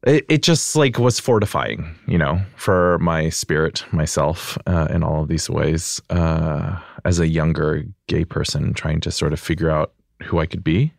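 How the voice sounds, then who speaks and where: A man's voice: a bass voice, unhurried, creaky, hardly any pauses, dry recording, clean studio sound. An American man chatting as a podcast guest, reflective and casual, with lots of "like" and "you know" as he thinks aloud. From United States. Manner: reflective, filler-heavy with frequent "like" and "you know". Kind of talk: casual conversational interview.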